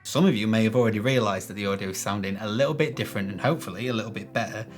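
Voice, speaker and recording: A man with a bassy voice, explaining a video-editing tutorial to camera in a chatty, self-deprecating way, recorded on a shotgun mic in a small room. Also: very fast, soft background music. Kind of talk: casual tutorial talk to camera. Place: small room with some echo. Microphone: shotgun microphone.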